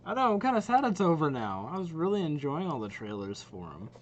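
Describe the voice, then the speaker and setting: A man's voice: fairly high pitch, big pitch swings, fast, highs cut off, a little hiss or hum. A young man giving casual, rambling reaction commentary as the host of a video.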